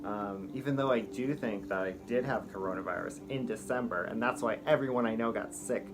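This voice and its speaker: A man's voice: fairly low pitch, a little fast, music in the background, rough recording. A middle-aged man, a drag performer, telling a rambling, profane comic story in a campy, chatty way from a small room at home. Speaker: drag performer and show host.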